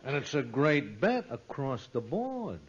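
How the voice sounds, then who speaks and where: An actor playing a sly racetrack tout with furtive, clipped, slangy patter in 1940s radio comedy, on an old recording. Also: male voice, medium pitch, sing-song, measured pace, slightly rough, lo-fi sound. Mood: sly, confidential.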